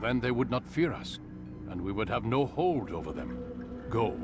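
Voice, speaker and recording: An actor playing a commanding, calculating mentor of medieval assassins in a video game, speaking in a measured, formal way. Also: male voice, medium pitch, sing-song, close to an everyday voice. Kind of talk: video game drama acting. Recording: loud game music under the voice. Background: video game music.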